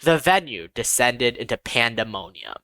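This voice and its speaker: Bright, expressive audiobook narrator with lively pitch. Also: normal speed, no room sound. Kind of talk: scripted first-person story narration.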